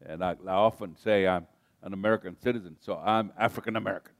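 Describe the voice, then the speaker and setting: A man's voice: sits low, fairly even intonation, fast talker, dry recording. An older man born in Kenya preaching a sermon to a congregation, often restarting phrases and calling on listeners to respond. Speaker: pastor.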